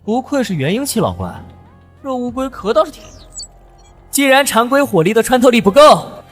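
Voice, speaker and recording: A man playing a cocky, resolute young hero who taunts his foes in a dramatic animated fantasy comic. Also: very high-pitched, normal speed, faint music behind, exaggerated voice. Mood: calculating, confident. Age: young adult. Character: defiant young man hero with modern weapons in cultivation world.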